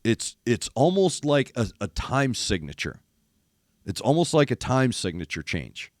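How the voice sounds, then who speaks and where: An older man, a former rock radio DJ, hosts a music reaction show. He is losing his voice and sounds gravelly, speaks emphatically, and mimics drum hits while talking. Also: medium pitch, very animated, a little slow, dry recording, clean studio sound. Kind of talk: casual music commentary. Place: studio in east Nashville.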